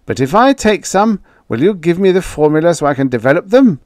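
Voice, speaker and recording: A man's voice: a little high, sing-song, brisk, darkish tone, dry recording, clean studio sound, pitched above the performer's own voice. A man voicing a greedy, desperate schemer who presses and wheedles. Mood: greedy pushing. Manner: pushy, wheedling.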